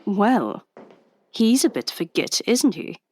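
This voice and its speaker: A woman's voice: medium pitch, very animated, measured pace, a little mellow. An actress playing a wry young undercover policewoman, confiding in the listener in an audio drama. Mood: indignant, wry. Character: young woman undercover police agent, wry, posing as nurse. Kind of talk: first-person audio drama narration and dialogue.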